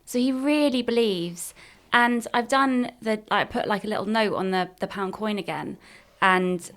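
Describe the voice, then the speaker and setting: A woman in her thirties chatting casually as a podcast co-host. Her voice sits at an ordinary middle pitch, and she slips into bright, excited voices when she quotes others. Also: a little slow. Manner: storytelling, animated when quoting others. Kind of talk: casual chat.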